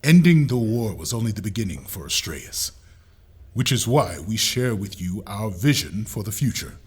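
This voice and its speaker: A man's voice: lowish voice, sing-song, moderate pace, a little mellow, slightly rough, full character voice. A man playing an admiral who leads a classified summit in a clipped, businesslike tone. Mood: brisk. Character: brisk male admiral leading a secret summit.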